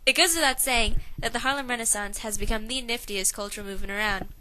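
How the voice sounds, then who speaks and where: A woman's voice: a little high, fast, lightly acted. A young woman playing a peppy, slangy 1920s radio morning hostess, reading a script like an announcer on a noisy amateur recording. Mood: upbeat, announcing. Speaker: radio show host.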